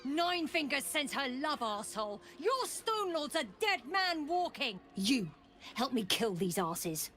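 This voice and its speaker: Scrappy, brash female gangster, high voice, fantasy game combat bravado, light music behind. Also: sing-song, measured pace, a bit bright, exaggerated voice. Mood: defiant, taunting. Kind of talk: video game character acting.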